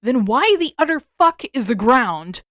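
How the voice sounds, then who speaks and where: A woman voicing a sarcastic, excitable teenage boy in a storybook, loud and emphatic with exasperation. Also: on the high side, a little slow, no echo, clean studio sound, voice pushed up.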